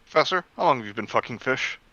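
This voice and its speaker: Male banter partner, animated and sharp-voiced, blunt crude humor, casual, slightly muffled lo-fi mic. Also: medium pitch, fast talker. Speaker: guest commentator on a comedy reading show.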